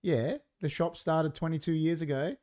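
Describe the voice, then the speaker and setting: Middle-aged man, Australian accent, slightly high voice, casual podcast banter, chatty, repetitive for emphasis. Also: normal speed, darkish tone. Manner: chatty, repeats himself for emphasis. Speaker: podcast co-host.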